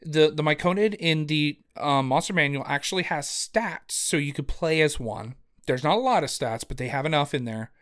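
A man co-hosting a casual podcast, rambling and excitable, with lots of fillers and restarts. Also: fairly high pitch, normal speed, few pauses. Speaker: podcast co-host. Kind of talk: casual chat about tabletop games. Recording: lo-fi home audio.

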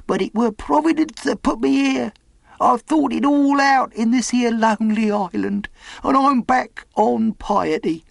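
A man's voice: very high voice, creaky, no echo, voice pushed up, heavily acted. A man voicing a half-crazed, sly, eager marooned old pirate with rustic sailor's talk, in a storybook reading. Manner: rustic ungrammatical sailor's talk, repeats himself excitedly.

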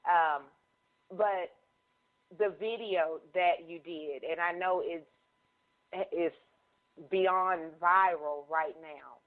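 A woman's voice: lowish voice, steady tone, very slow, long pauses. Encouraging woman host, effusive, phone-line sound. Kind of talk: casual conference-call chat.

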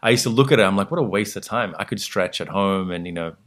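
A man with an Australian accent talking reflectively as an interview guest, pausing often as he phrases his thoughts. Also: low voice, a bit bright. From Sri Lanka until age six, then Australia. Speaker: podcast guest, meditation teacher.

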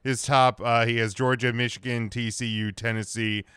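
A man's voice: mid-range voice, flat delivery, normal speed, a bit bright, smooth, dry recording. A man hosting a casual sports picks show, chatting and moving the discussion along.

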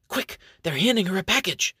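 A man's voice: sits high, lively intonation, bright, forceful tone, husky, no echo, studio quality, pitched above the performer's own voice, heavily acted. A man voicing a plucky young princess who has become a sneaky rogue. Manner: quick, lifted voice.

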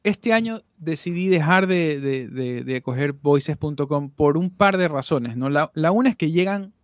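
Man guest with a somewhat high voice, candid and reflective, lots of restarts, over a video call, dry sound. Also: animated, normal speed, smooth, dry recording. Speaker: voice actor guest. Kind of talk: casual podcast interview.

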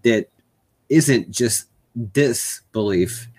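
A man's voice: medium pitch, very slow, a little mellow, husky. A man in casual conversation, speaking hesitantly with many fillers and restarts.

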